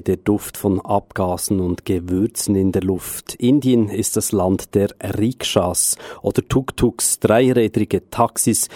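Male radio presenter reading a script, slow and low, dry studio sound. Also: mellow, no echo. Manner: measured, deliberate reading. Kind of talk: scripted narration.

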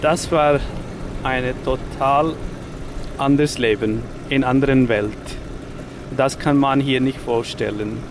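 A man in his forties from India, speaking halting with many fillers in a casual interview, outdoors on a city street. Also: medium pitch, steady tone, background noise. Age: 40s. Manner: halting non-native German with frequent fillers. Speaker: interviewee, rickshaw driver.

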